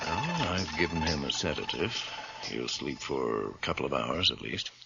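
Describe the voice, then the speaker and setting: A very deep, gravelly doctor. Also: male voice, very animated, heavy background noise, heavily acted. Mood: grave, stern. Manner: measured, admonishing. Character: grave, authoritative doctor, confident and firm. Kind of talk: radio drama acting. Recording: dry, dull old radio recording.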